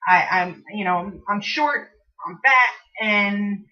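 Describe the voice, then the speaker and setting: A woman with a squeaky voice co-hosting a casual chat, talking haltingly with many restarts. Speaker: co-host. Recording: distant mic, dull lo-fi sound.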